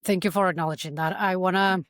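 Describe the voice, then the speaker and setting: A woman hosting a podcast interview, asking questions gently and affirming her guest. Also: lowish voice, steady tone, quick pace, no echo, studio quality. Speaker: podcast host.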